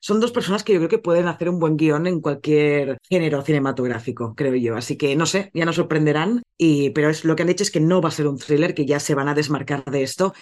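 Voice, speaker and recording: A man's voice: a little high, fairly quick, few pauses, a little mellow. A man hosting a casual film podcast, chatty and correcting his own slips as he goes. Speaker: podcast host presenting a film.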